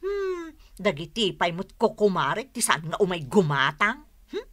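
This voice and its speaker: A woman's voice: medium pitch, big pitch swings, normal speed, a bit bright. A woman acting a feisty young barbecue vendor with a scolding, exclamatory manner and an Ilocano accent, in a radio drama. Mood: indignant.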